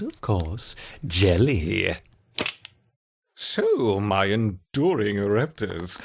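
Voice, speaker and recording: An actor voicing an unhinged, gleeful mad scientist in a video game, theatrical and muttering to himself. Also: male voice, lowish voice, background noise, full character voice. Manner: theatrical, muttering to himself, swinging from purring to shrieking. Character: unhinged, gleeful male mad scientist doting on his specimens. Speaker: narrator and main character of a strategy game. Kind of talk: dramatic video game narration.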